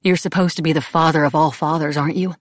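A woman voicing an irreverent, desperate young woman in an audiobook, pleading emotionally and haltingly. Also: low-pitched, steady tone, no echo, natural voice. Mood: bitter, challenging. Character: young woman, bitter, desperate, irreverent, praying to absent god. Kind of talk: audiobook character dialogue.

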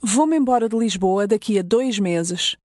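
A woman's voice: mid-range voice, a little slow, darkish tone, no room sound, studio quality. Woman with a European Portuguese accent. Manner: clear, careful repetition of phrases. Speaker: native speaker model in a language course. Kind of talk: scripted phrase modeling.

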